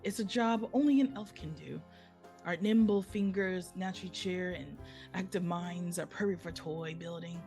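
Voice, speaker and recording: Woman reading as a chatty elf storyteller, lowish voice. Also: normal speed, creaky, a little mellow, soft background music. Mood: chatty, wry. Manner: folksy, conversational storytelling addressed to listener. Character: chatty old male elf storyteller, proud of elf life. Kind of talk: live table read of a film script.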